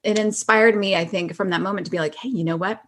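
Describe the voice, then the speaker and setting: A woman's voice: mid-range voice, steady tone, quick pace, a bit bright. A woman in her forties chatting casually and reminiscing as a podcast guest, informal, giggly and loosely rambling. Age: 40s.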